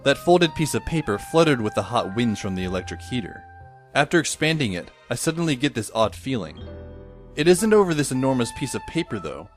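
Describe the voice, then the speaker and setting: A man's voice: medium pitch, very animated, a little fast, faint music behind, close to an everyday voice. A man playing a wry, put-upon teenage boy, narrating in the first person for an audio drama. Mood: wry, observant.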